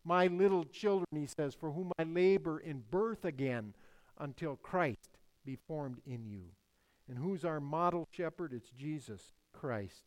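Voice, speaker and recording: An older man preaching a sermon, stressing key words and repeating phrases, sometimes rising and then dropping to a hush. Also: on the high side, a little slow, rough recording. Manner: emphatic, repeats key phrases, swings between loud and hushed. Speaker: pastor.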